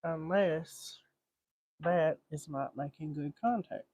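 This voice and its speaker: A man's voice: fairly high pitch, takes it slowly, a little mellow, no echo, studio quality. A man thinking aloud in short muttered fragments, giving casual live commentary as he does repair work. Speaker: livestream host repairing a vintage radio.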